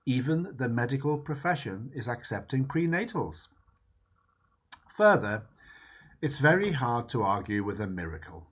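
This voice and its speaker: Man reading aloud, lively husky voice. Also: medium pitch. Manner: measured, emphatic reading with lively rises and falls. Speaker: reader. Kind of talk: reading a book foreword aloud. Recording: dull lo-fi recording.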